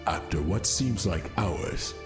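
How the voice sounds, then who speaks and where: A man's voice: low-pitched, expressive, slow pace. A man narrating a space adventure audio drama as a solemn scripted storyteller. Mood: measured. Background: music.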